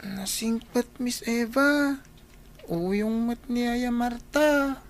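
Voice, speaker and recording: Woman playing a small boy, high-pitched, innocent and eager, for radio drama. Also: drawn-out words, a little hiss or hum.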